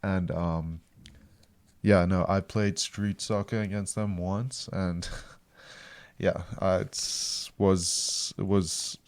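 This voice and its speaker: Podcast guest: young man, lowish clear voice, halting delivery, dry room. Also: fairly even intonation, no room sound. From South Africa. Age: young adult. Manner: hesitant, many ums and restarts. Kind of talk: casual podcast chat.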